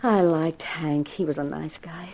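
Guarded torch singer, low-pitched, soft and languid, vintage radio crime drama. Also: female voice, steady tone, measured pace. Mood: shocked, subdued. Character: sultry female nightclub singer, soft and guarded. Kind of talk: 1950s radio drama acting.

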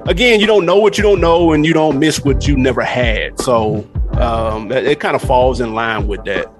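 A middle-aged man with an American accent talks as a podcast guest in a mid-range voice. He is candid and slangy, telling stories in a loose conversational style, with music clearly playing behind him. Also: mid-range voice, moderate pace. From Nashville, USA. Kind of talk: casual storytelling chat.

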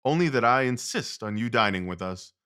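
An actor playing a confident, commanding gentleman detective, wry and precise, in an audio drama. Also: mid-range voice, animated, fairly quick, smooth. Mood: commanding, brisk.

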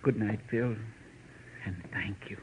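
Hesitant, low-voiced lovelorn fellow. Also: male voice, takes it slowly. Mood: subdued, anxious. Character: subdued, hesitant lovelorn man, the detective's friend. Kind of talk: 1940s radio drama acting. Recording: noisy vintage radio recording.

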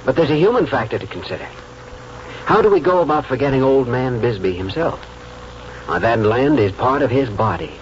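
An American actor playing an earnest, principled lawyer and devoted husband, speaking reflectively and sincerely in a 1940s radio drama. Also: male voice, mid-range voice, big pitch swings, fairly quick, a little hiss or hum, close to an everyday voice. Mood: earnest, firm. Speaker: lead actor. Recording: dull 1940s radio recording, music behind.

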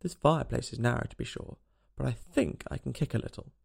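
A man's voice: medium pitch, brisk, gravelly. A man voicing a puzzled young girl who muses wistfully and chides herself, in a storybook reading. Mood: puzzled, determined. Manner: wistful, self-chiding.

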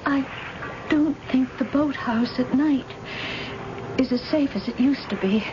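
A woman's voice: sits high, a little slow. An actress playing a frightened, unhappily married wealthy woman in an old-fashioned radio drama, hesitant and pleading. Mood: anxious, hushed. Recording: dull, noisy old radio broadcast recording.

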